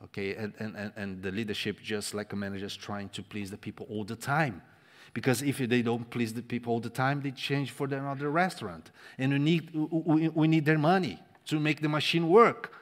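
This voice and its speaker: A man's voice: medium pitch, fairly even intonation, fairly quick. Portuguese-speaking man preaching in a reverberant hall. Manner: impassioned, swings from loud to hushed, repeats words for emphasis. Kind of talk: sermon. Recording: long reverb of a large hall.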